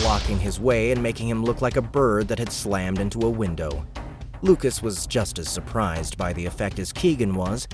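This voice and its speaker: A man narrating a game-world audiobook in a steady, measured storytelling voice. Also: lowish voice. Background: background music.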